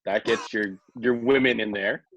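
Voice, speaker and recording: A man on a podcast panel casually pitching ideas with a jokey manner, pushing on through interruptions. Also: fairly even intonation, normal speed, a bit bright, no echo, highs cut off.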